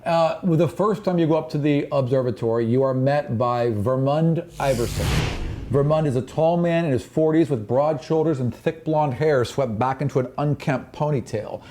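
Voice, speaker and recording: A man's voice: a little high, moderate pace, dark tone, a little hiss or hum. A man narrating an improvised fantasy tabletop game as game master, descriptive and conversational, often repeating phrases as he explains.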